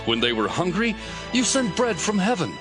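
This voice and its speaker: An actor playing an impassioned Levite praying in a solemn, oratorical dramatized scripture reading. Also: male voice, sits high, big pitch swings, moderate pace, music in the background. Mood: solemn awe. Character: impassioned male Levite recounting God's deeds in prayer.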